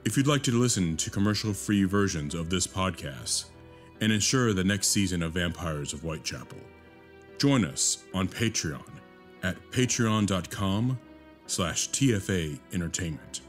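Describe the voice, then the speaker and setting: Low-voiced male podcast announcer. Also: lively intonation, moderate pace. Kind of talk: scripted podcast outro and credits. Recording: music under the voice.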